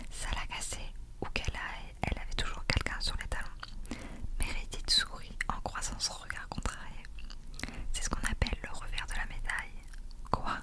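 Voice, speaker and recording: A woman reading a novel aloud in a soft, unvoiced whisper, in the style of ASMR storytelling.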